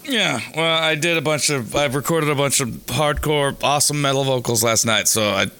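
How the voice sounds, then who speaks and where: A man with a raspy, hoarse voice, worn out from singing, chats casually as a podcast co-host. He rambles from joke to joke and restarts his sentences a lot. His tone is very bright and hard-edged, at an ordinary pitch. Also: moderate pace. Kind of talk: casual chat.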